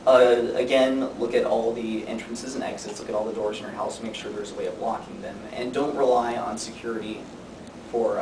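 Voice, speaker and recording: A young man giving an informative student speech in a conversational way with deadpan humor. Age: young adult. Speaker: student speaker. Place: classroom. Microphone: distant camera microphone. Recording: distant mic, echoey room, noisy rumble.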